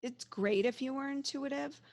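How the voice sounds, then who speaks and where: A woman's voice: sits high, normal speed, a little mellow, no echo, studio quality. A middle-aged woman speaking openly and reflectively as an interview guest, often restarting her sentences. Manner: open, reflective, many restarts. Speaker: interview guest, psychologist and medium. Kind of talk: casual interview talk.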